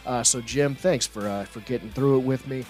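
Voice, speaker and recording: A man's voice: mid-range voice, fairly quick, soft background music. A man hosting a sports podcast, joking around playfully with lots of "uh" fillers. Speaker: podcast host. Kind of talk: casual sports podcast chat.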